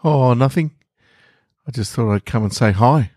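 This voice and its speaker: A man reading the part of a shy child in a short story, tentative and halting. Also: mid-range voice, a little mellow, dry recording, studio quality, pitched above the performer's own voice, close to an everyday voice. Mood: tentative, casual. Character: shy, hesitant child wanting a hug.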